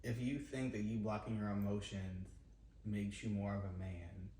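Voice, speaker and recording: A man hosting a podcast, talking in an expressive, playful way. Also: lowish voice. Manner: expressive, playful, quick to laugh. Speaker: podcast host. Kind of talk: casual conversation. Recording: echoey room, mic at a distance, faint noise.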